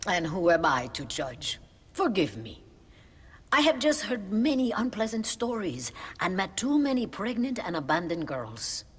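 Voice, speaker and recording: A woman playing a pious, compassionate Mexican mother superior in a video game, with a Mexican accent and measured, formal phrasing. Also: mid-range voice, big pitch swings, husky. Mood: gently disapproving. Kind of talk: video game cutscene acting. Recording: long reverb, faint background noise.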